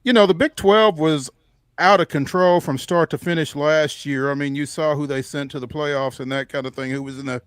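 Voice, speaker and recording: A man co-hosting a sports show, talking casually through his game picks in a measured, halting way as he thinks aloud. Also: a little high, fairly even intonation, smooth, no echo, clean studio sound.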